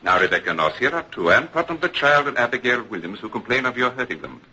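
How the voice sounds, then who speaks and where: Forceful, stern witch-trial prosecutor. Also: medium pitch, sing-song, fast talker, husky, full character voice. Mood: accusing. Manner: commanding, formal interrogation. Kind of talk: old-time radio drama acting. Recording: noisy vintage radio broadcast.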